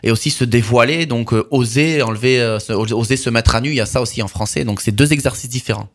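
A man, a musician guest in a radio interview, talking reflectively about his work and pausing to find his words. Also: mid-range voice. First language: French.

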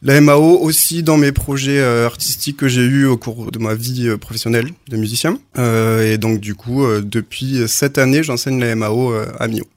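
A man in his thirties explains what he teaches on a radio show, plain and a little unsure at times. His pitch is ordinary. The recording is dry and close. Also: steady tone, normal speed, hardly any pauses, dry recording. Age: 30s. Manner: plain, slightly hesitant. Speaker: music teacher. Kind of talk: radio interview guest. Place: radio studio.

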